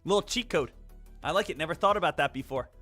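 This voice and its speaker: A man's voice: fairly high pitch, expressive, fast talker, fairly bright tone, soft background music. A man hosting a live day trading stream, chatting casually with viewers in a brash, joking, crude way. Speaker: day trading livestream host.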